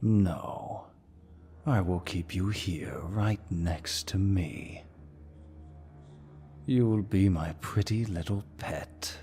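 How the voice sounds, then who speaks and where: A man playing a menacing demon lord in an ASMR fantasy roleplay, with a deep, gravelly voice and a dominant, smug, taunting manner. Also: mellow, a little hiss or hum. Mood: possessive, coaxing. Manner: dominant, smug, slow and deliberate.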